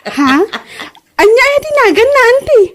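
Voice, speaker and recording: A woman with an Ilocano Filipino accent playing a nosy, excitable young cousin in a comedy drama, exclaiming in a whiny, mocking way. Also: very high voice, moderate pace. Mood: nosy surprise.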